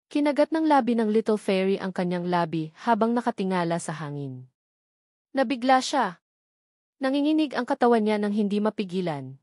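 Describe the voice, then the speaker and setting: A woman's voice: medium pitch, darkish tone, dry recording, clean studio sound. A woman reading scripted fantasy novel narration as an audiobook narrator.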